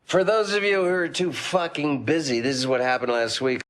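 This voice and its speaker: An actor playing a crude, profane father who tells viewers what they missed on a TV series, in a blunt, offhand way, with loud music under it. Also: fairly high pitch, steady tone, moderate pace, lightly acted. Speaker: recap narrator for a TV series. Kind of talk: scripted TV recap.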